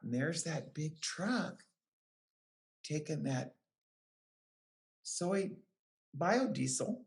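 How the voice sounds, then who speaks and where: In a roomy, echoing space, a woman reads a children's picture book aloud in a low voice. Her delivery is careful and deliberate, with lively rises and falls in pitch that suit young listeners. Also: vocal fry. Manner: careful, deliberate phrasing with short explanatory asides to children. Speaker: children's book reader, extension educator.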